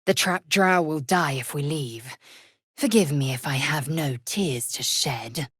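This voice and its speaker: A woman's voice: low voice, unhurried, breathy edge, dry recording, studio quality. An actress playing a fierce, proud alien warrior woman in a video game, speaking in a clipped, imperious, scornful way. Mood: scornful, commanding. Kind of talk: video game character voice lines.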